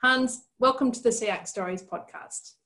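A woman hosting a podcast, reading a formal introduction and asking interview questions in a clear, measured way. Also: a little high, fairly bright tone. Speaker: podcast host.

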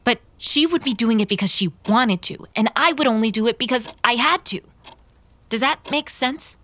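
A woman's voice: on the high side, moderate pace, fairly bright tone, dry recording, lightly acted. A woman playing a defensive, self-justifying character confessing in an audio drama. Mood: defensive, intense. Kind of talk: audio fiction acting.

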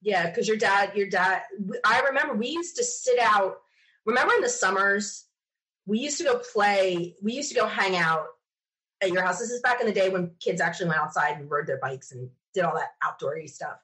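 A woman's voice: mid-range voice, normal speed, poor audio. A woman being interviewed, telling casual personal stories in a chatty way and acting out bits of remembered conversation. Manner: chatty, animated quoting of self and others. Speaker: interview guest.

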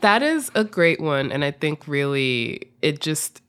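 A woman's voice: sits low, sing-song, a little slow, fairly bright tone, clean tone. A woman hosting a podcast in casual chat, effusive and wry. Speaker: podcast host.

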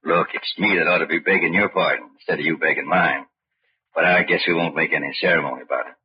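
An actor playing an older, folksy but coolly murderous rural American uncle with a drawling, falsely polite manner in a 1940s radio crime drama. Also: male voice, a bass voice, fast talker. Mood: smugly menacing. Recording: muffled, hissy old radio broadcast.

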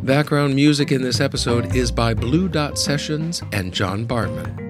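A man's voice: medium pitch, normal speed, over music. A man speaking as a podcast host, addressing his listeners. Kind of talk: podcast hosting.

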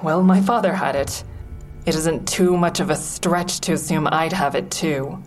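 A woman's voice: low voice, a little slow, soft background music. An actress playing a defensive, sardonic woman comedian in an audio drama, wry and guarded, confiding her thoughts as narration. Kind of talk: full-cast audio drama acting with first-person narration.

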